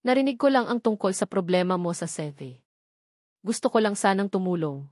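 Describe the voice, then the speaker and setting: Female narrator as two-faced schemer in a story, honeyed and falsely caring, mid-pitched. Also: expressive, normal speed, a little mellow, dry recording, studio quality, close to an everyday voice. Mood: feigned sweetness. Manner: sweet, falsely sympathetic. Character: scheming sweet-talking woman feigning sympathy. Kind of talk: audio story character dialogue.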